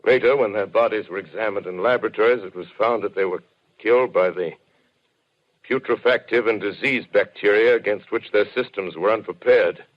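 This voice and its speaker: An actor playing a shaken professor who narrates, solemn and measured, in an old 1930s radio drama. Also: male voice, lowish voice, dull audio, natural voice. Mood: solemn reflective. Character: shaken male professor narrator recalling invasion.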